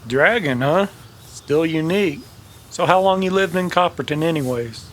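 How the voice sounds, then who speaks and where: A man playing a wry, gruff cowboy gunman with a folksy manner, in an old-time radio Western. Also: a little high, animated, normal speed, fairly bright tone, a little hiss or hum, voice pushed up. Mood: wry, friendly.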